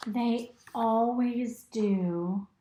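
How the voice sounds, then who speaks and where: A woman reading a picture book aloud to young children in an expressive, instructive way, pausing to ask them simple questions. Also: a little high, slight background noise. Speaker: storybook reader. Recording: roomy, echoey indoor recording.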